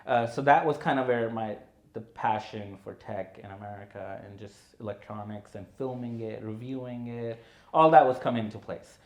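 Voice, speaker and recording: A man, a filmmaker guest on a podcast, telling his story in a relaxed way full of "you know" and "right". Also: mid-range voice, moderate pace, roomy sound. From India. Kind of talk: conversational podcast interview.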